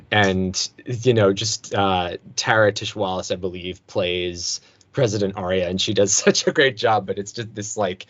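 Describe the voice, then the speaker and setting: Low-ish guy rambling about his work, lots of ums, dry muffled audio. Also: no echo. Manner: relaxed, hesitant, many fillers. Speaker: voice actor guest. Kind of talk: casual interview chat. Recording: dull lo-fi sound, dry.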